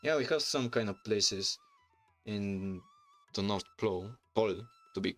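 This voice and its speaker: A man from Bulgaria with a Bulgarian accent, chatting casually on a livestream in halting, rambling English full of restarts. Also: fairly low pitch, faint music behind. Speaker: streamer and YouTuber. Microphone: desk microphone.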